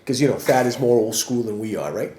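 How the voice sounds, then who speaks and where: A man's voice: mid-range voice, animated, fast talker, roomy sound, a crowd in the background. A man from Trinidad hosting a casual podcast chat, asking questions and restarting them as he goes. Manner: probing, repeats and restarts his questions.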